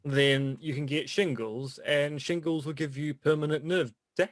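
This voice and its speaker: A middle-aged man co-hosting a podcast in casual chat, wry and deadpan in his jokes. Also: a little high, normal speed, no echo. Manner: dry, wry joking. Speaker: podcast co-host.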